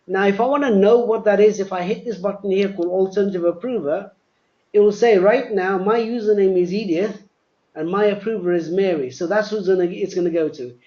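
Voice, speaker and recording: Male presenter, high-pitched voice, step-by-step explanatory demo, repeats words, dull webinar recording. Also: fairly quick. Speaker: software demonstrator, presenter. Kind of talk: live software demo presentation.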